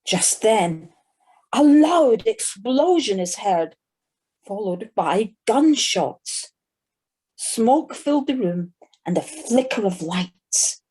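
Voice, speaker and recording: Husky woman narrating, slow and animated. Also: on the high side, low-quality recording. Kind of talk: scripted children's story narration.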